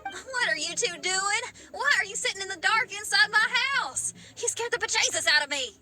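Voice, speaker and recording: A young rock musician girl in a game, spirited and warm. The voice is high and very animated, with folksy, expressive phrasing. Music plays clearly behind it. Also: normal speed. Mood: startled, exasperated. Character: spirited young female rocker, warm, easily startled. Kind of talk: animated video game voice acting.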